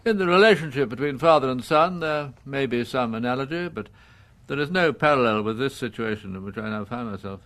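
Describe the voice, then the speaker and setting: Actor as a proud, pompous old English judge. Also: male voice, mid-range voice, lively intonation, a bit bright, close to an everyday voice. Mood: grave, reflective. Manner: formal, measured, pompous legal diction. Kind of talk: radio drama acting.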